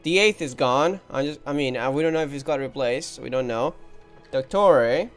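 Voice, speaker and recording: A man's voice: medium pitch, normal speed. A man giving casual playthrough commentary on a video game, informally thinking aloud. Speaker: gaming video maker reacting to a game. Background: soft game music.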